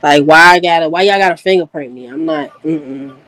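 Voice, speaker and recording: A woman's voice: deep voice, fairly quick, a bit bright. A woman hosting a casual livestream chat, laughing easily and talking in loose slang. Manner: laid-back, laughing, slangy storytelling. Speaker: host.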